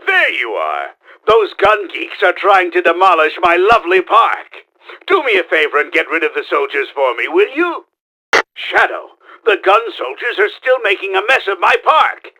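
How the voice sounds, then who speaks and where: A man playing a pompous, scheming middle-aged mad-scientist villain in a video game, with a gravelly, forceful, theatrical delivery. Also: sits high, heavily acted. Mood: bossy. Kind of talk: exaggerated video game voice acting.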